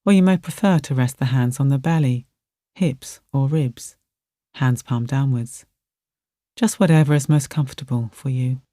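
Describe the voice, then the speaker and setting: A meditation guide narrating a relaxation exercise in a gentle, soothing manner with soft, spacious phrasing. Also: mid-range voice, normal speed, no echo, clean studio sound.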